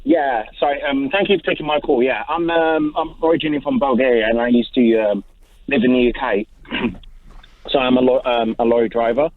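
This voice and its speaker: A man's voice: mid-range voice, steady tone, brisk. A man calling in to a radio show over the telephone, hesitant and full of ums and uhs. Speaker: caller. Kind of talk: phone-in call. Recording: tinny phone line.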